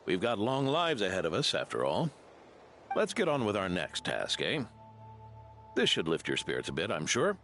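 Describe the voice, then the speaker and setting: Deep, gravelly old knight. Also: male voice, huge pitch swings, a bit bright, faint music behind, full character voice. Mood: genial. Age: older. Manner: measured, dignified storytelling. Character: seasoned old knight, genial, measured, reflective. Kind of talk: video game character acting.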